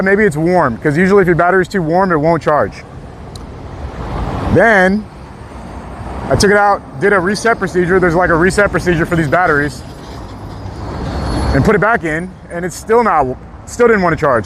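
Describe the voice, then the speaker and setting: A man with a hoarse, worn-out voice chatting casually to his live-stream viewers outdoors on a city street. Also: sits high, normal speed, pauses often. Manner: chatty, laid-back, talks straight to viewers. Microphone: small clip-on mic. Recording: loud street noise outdoors.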